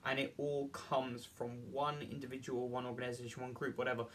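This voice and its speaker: A man giving an earnest, unscripted opinion monologue in a halting, rambling way, often repeating and rephrasing as he argues. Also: mid-range voice, flat delivery.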